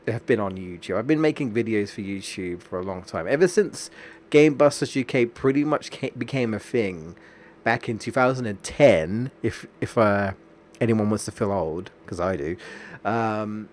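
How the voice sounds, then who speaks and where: A low-voiced man, a bit stuffed up from a cold, talking to his viewers during a game stream. He rambles and often breaks off to restart his sentences. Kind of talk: casual chat while streaming a game.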